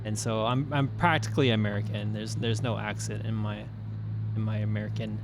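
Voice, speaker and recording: A man's voice: lowish voice, level tone, a little fast, noisy background. A man from Brazil whose first language was Portuguese, co-hosting a racing live stream in casual chat. He talks loosely with many "like" fillers and reads viewer comments aloud. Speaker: race stream co-host.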